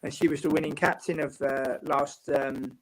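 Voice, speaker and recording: A man from England, a football writer and guest, talking in a calm, measured way with long explanations. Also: a little high, rough recording. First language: English. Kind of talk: casual panel chat.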